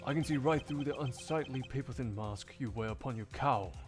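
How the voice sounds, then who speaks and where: A man plays a stern male prosecutor from a courtroom game. He speaks formally and deliberately, composed but severe and accusing, with music behind him. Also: medium pitch, pitched below the performer's own voice, close to an everyday voice. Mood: cold accusing.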